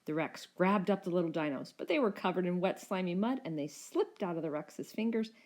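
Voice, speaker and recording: A woman with a fairly low voice narrates a children's tale. Her reading is expressive and scripted, as a storyteller for young listeners. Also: fairly quick.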